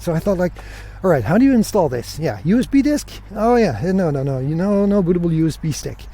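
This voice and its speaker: A man's voice: a little high, mellow, slight background noise. A man hosting a solo podcast, telling stories in a casual, conversational way. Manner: conversational, acts out remembered dialogue. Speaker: podcast host.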